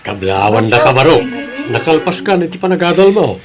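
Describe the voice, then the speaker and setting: A man's voice: medium pitch, very animated, brisk, music in the background, lightly acted. A man acting a firm, caring middle-aged father in an audio drama, speaking in an advising way. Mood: proud.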